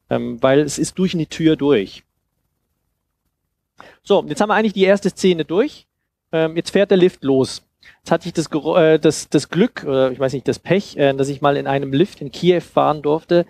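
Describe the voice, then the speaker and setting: A man in his thirties giving an informal demonstration talk into a headset mic, explaining haltingly and thinking aloud. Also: fairly high pitch, darkish tone. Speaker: conference speaker on sound design. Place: seated on a sofa in a festival room. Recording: clear background noise and ambience.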